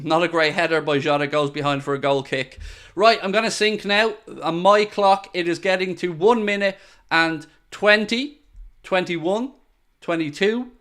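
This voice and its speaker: A man hosting a live football watchalong stream, calling each pass and joking with the chat. His voice is smooth and clear and sits a little high for a man. He talks excitably and speaks straight to his viewers. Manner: excitable, jokey, addresses viewers directly. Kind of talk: live match commentary.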